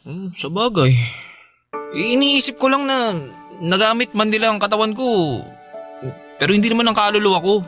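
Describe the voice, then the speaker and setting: Timid filipino boyfriend, stammery n pleading, pretty high voice, radio drama. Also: male voice, animated, moderate pace, long pauses, bright, forceful tone. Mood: earnest, hopeful. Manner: hesitant, stammering. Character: meek, pleading, jealous young Filipino boyfriend. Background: faint music.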